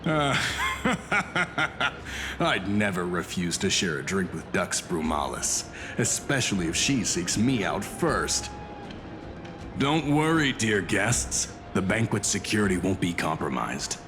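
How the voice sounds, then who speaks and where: Hearty drinking guardsman, anime game, deep, boastful, music behind. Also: sing-song, slow pace, heavily acted. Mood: jovial. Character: jovial, hearty male guard who loves a drink. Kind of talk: anime-style video game voice acting.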